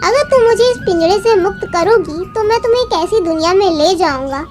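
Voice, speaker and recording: A magical storybook parrot, acted by a woman, speaks in an extremely high, small voice. He is a solemn, mysterious guide who instructs and warns. Soft music plays behind him. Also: lively intonation, a little fast. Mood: mysterious, promising. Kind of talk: children's storybook character voice.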